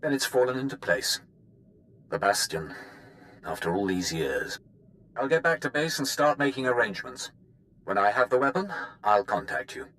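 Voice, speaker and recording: An actor playing a wry, aggrieved military weapons master in a video game, with a theatrical way of talking. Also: male voice, medium pitch, animated, moderate pace, fairly bright tone, a little hiss or hum, natural voice, poor audio. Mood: eager. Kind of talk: video game character dialogue.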